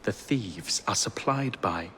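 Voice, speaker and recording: An actor with an English accent playing an earnest, fussy, secretive police sergeant in a Victorian video game. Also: male voice, fairly low pitch, animated, slow pace, background noise. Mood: earnest, guarded. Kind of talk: video game cutscene acting.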